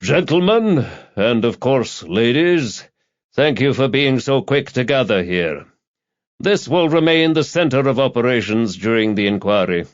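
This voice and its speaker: Senior policeman, put on by a man narrator: pompous, formal, declamatory, very animated audiobook delivery. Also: medium pitch, measured pace, lo-fi sound, pitched above the performer's own voice, natural voice. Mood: pompous, solemn. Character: pompous senior male police superintendent addressing officers.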